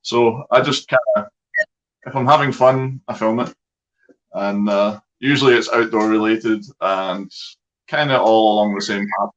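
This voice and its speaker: A man's voice: lowish voice, a little slow. Easygoing Scottish man, dull call audio. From Scotland. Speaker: guest, outdoors video creator. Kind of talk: casual chat.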